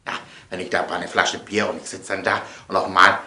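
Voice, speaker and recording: Bright, animated man in an echoey room. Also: low voice, fast talker. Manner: emphatic, rambling, repeats phrases for effect. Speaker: presenter of his audio sketches. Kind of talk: casual chatty commentary.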